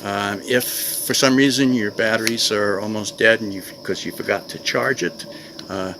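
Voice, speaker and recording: A man's voice: on the low side, steady tone, normal speed. An older man talking casually to camera in a plain-spoken, conversational way, like a vlogger reviewing gear. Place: outdoors in a wooded clearing. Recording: noisy outdoor recording with insect ambience.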